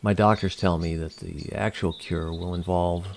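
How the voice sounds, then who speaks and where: A man playing a courteous, diplomatic alien leader in an audio drama, speaking in a formal, measured and polite way. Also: sits low, steady tone, creaky. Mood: polite, reassuring. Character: courteous alien leader, large, diplomatic and smoothly persuasive male. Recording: noticeable background noise.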